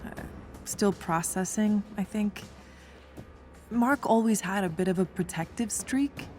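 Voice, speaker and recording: A woman's voice: medium pitch, unhurried, music in the background, natural voice. A woman voicing a tough, smart young scientist in a video game, dry and matter-of-fact. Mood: reflective, resolved. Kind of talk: video game voice acting, naturalistic dialogue.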